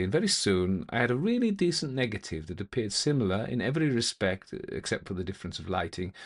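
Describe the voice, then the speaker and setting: A man narrating a ghost story as a methodical gentleman investigator, speaking in a formal, deliberate, old-fashioned way. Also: mid-range voice. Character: male gentleman investigator recounting an eerie experience, methodical, intent.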